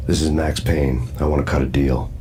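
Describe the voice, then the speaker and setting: An actor playing a cynical, hardboiled ex-cop in a game, speaking deadpan with dry sarcastic wit. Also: male voice, a bass voice, normal speed, a little hiss or hum. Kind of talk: noir game narration and dialogue. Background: music.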